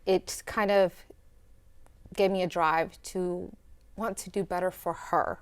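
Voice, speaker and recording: A woman's voice: lowish voice, steady tone, takes it slowly. A young woman from El Salvador, a student guest in a studio interview, speaking earnestly and reflectively with fillers and restarts.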